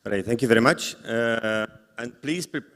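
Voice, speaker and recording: A man who is a non-native English speaker moderates a live conference panel, rephrasing haltingly as he goes. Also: medium pitch, a bit bright. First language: not English. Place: large indoor conference hall. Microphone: event microphone. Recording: echoey hall sound system.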